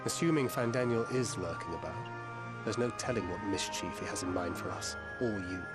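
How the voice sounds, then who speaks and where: Man playing a fantasy game comrade, lowish voice, wry, articulate, gravely earnest, loud music behind. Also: normal speed, natural voice. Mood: watchful. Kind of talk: dramatic fantasy game cutscene acting.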